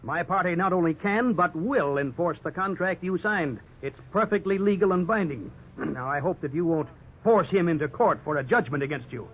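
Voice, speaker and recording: A slick, condescending male real estate agent, speaking formally in a fairly high voice. He is evasive and falsely innocent, in 1950s radio drama style on a tinny, noisy old broadcast. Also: animated, normal speed. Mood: veiled threat. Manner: formal, unctuous, feigned innocence.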